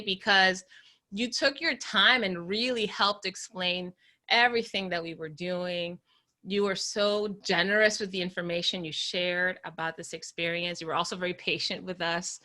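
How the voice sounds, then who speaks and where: A woman hosting an interview podcast, talking slowly and deliberately at first, then chatting easily with a guest over a video call. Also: mid-range voice, sharp tone. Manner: slow, deliberate opening, then conversational with laughs. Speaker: podcast host.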